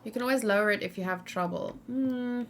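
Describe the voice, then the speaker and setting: A woman's voice: medium pitch, brisk, fairly bright tone, a little hiss or hum. A woman streaming a video game, thinking aloud informally and talking casually with her viewers. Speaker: video game streamer, let's play host. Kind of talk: casual live game commentary, chatting with viewers.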